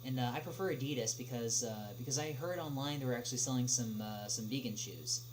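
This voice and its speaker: A man hosts a casual livestream, answering questions in a mid-range voice. His delivery is hesitant and stop-start, with long pauses between phrases. A noticeable humming noise runs through the recording. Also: mid-range voice. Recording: noisy livestream audio with clear hum.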